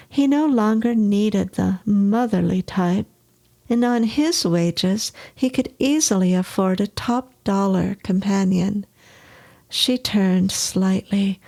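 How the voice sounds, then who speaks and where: Slow-paced woman reading a short story. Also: mid-range voice, creaky, dark tone. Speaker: story narrator. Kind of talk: scripted short story narration.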